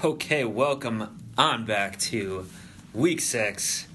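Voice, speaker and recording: A man's voice: medium pitch, lively intonation, slow pace, music in the background. A young man hosting a casual sports podcast, normally laid back but trying to bring extra energy. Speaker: podcast host.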